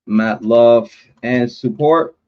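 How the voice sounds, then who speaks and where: Slow-talking man hosting a livestream. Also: mid-range voice, muffled recording. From Puerto Rico. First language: Spanish. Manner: rambling, chatty, drawn-out with fillers and cursing. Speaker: livestream host. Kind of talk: casual livestream chat.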